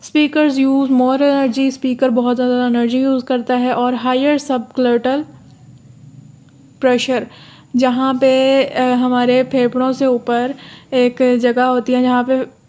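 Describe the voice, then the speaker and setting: High, level-voiced woman tutor. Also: flat delivery, dark tone. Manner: reads English lines slowly, then explains them in Urdu; repetitive, checks in with "theek hai". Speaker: online course tutor. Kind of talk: lecture explaining course notes.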